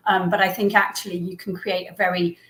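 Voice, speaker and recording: A woman's voice: fairly low pitch, flat delivery, fairly quick, a bit bright. A woman with an English accent, a business owner speaking as an interview guest, talking in a thoughtful, friendly way with frequent ums and easy laughter. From England, Herefordshire. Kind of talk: casual interview. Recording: roomy echo.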